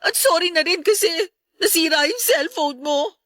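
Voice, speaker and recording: A woman's voice: very high voice, expressive, normal speed, fairly bright tone, no echo, studio quality. A woman playing a remorseful, pleading stepmother in an emotive Filipino radio drama, with a Filipino accent. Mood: apologetic, stressed. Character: remorseful, pleading stepmother, adult woman under family strain.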